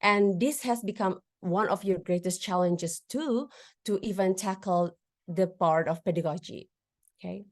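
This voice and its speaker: A woman's voice: medium pitch, measured pace. A woman lecturer with an Indonesian accent, whose first language is a regional Indonesian one, giving a webinar presentation over a video call, with fillers, restarts and questions to the audience. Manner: lively, frequent fillers and restarts, addresses audience with tag questions.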